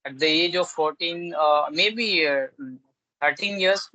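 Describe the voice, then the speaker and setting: A man whose first language is Hindi or Bengali, talking in a casual English practice conversation, halting with many pauses and restarts. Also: fairly high pitch, sharp tone, dry recording, poor audio. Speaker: conversation partner.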